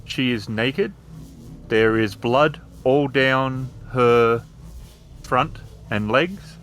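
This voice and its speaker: A man's voice: mid-range voice, drawn-out words, a bit bright. A man working as game master, narrating a horror scene descriptively for a tabletop roleplaying game. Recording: faint background ambience noise.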